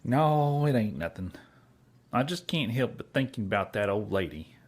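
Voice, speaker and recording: An actor playing a simple, sentimental cowboy outlaw in a western comedy audio drama, with a husky rural Western drawl. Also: male voice, fairly low pitch, sing-song, moderate pace. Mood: uneasy. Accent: rural American West. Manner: folksy, ungrammatical drawl.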